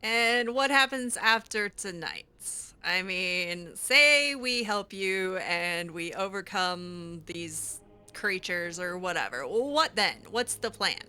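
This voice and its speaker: A woman's voice: lowish voice, lively intonation, slow pace, bright, forceful tone, clean tone. A woman improvising in character for a game roleplay, sardonic and hesitant, given to sighing. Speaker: gamer voicing her own character in a roleplay playthrough.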